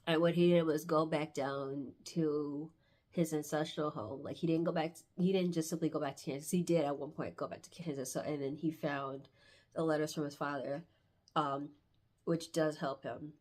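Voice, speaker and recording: A woman's voice: sits low, fairly even intonation, normal speed, a little mellow. A congested woman with a stuffy nose from hay fever, chatting casually and reflectively as she reviews books, often searching for words. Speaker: book reviewer vlogger. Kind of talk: casual book review chat, with passages read aloud.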